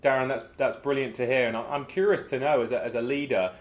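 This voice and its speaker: A man's voice: medium pitch, fairly even intonation, fast talker. A man hosting a podcast interview, encouraging and given to repeating words as he asks questions. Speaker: podcast host.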